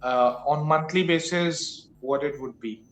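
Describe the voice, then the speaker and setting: A man's voice: on the high side, fairly bright tone. Non-native man on echoey video call. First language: not English. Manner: short backchannels, halting questions. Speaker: English teacher interviewing a tutor. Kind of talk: casual video call chat. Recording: roomy echo.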